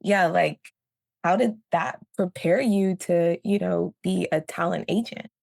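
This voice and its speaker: A woman's voice: lowish voice, a little slow, gravelly, a little mellow, dry recording. A woman hosting an interview, asking casual questions and reacting in a friendly, upbeat way. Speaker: interview host.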